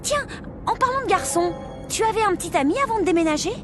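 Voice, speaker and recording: Playful cartoon aunt, super high, bouncy pitch, teasing, music under. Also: female voice, lively intonation, fast talker. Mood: breezy, curious. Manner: teasing, breezy. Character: youthful, playful, teasing aunt of a teenage girl. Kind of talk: animated series voice acting.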